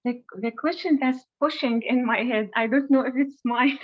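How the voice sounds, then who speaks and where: Woman on a zoom call, kinda high voice, hesitant, lots of uh's, tinny phone-like sound. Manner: hesitant, many "uh" pauses, nervous laughs. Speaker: call participant. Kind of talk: asking a question on a group call. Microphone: video call.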